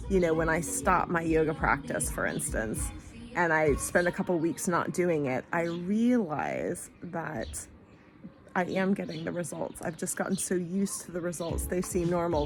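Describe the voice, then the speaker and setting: Soft-spoken middle-aged woman musing aloud. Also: fairly low pitch. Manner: gentle, musing, conversational with frequent "you know" and "like". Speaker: vlogger. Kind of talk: reflective motivational talk to camera. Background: background music.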